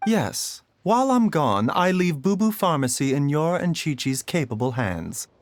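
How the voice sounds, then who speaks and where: A man voicing a gentle, composed and subtly sly doctor in a video game, with polite, measured, formal phrasing. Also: on the high side, big pitch swings, no echo.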